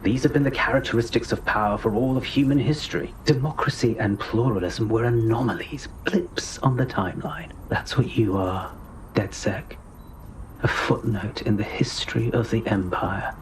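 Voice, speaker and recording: An actor with a British accent playing an arrogant, scornful spy villain in a video game, haughty and condescending. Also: male voice, mid-range voice, normal speed, natural voice. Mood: ominous scorn. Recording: echoey room with clear noise.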